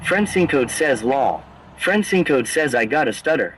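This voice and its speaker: Flat synthetic male chat-reading voice. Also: mid-range voice, moderate pace, a little hiss or hum, poor audio. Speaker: text-to-speech chat reader. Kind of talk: reading out viewer chat messages.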